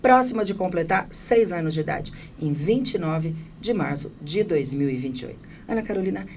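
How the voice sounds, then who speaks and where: A woman from São hosts a talk show in a fairly low voice. She sounds a little away from the microphone, with faint noise around her. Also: animated, moderate pace, darkish tone, distant mic. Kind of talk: talk show presenting and interviewing.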